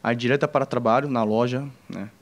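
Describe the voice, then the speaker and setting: Chinese-accented man telling a halting story. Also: medium pitch, steady tone. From China. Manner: halting, many fillers and restarts, jokes with the audience. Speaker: entrepreneur speaking on a panel. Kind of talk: informal personal story told to an audience.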